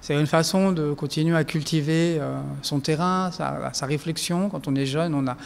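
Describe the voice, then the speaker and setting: A man's voice: on the high side, steady tone, moderate pace, vocal fry, long reverb tail, a little hiss or hum. A man speaking as a documentary filmmaker giving reflective interview answers, hesitant, with many fillers and restarts.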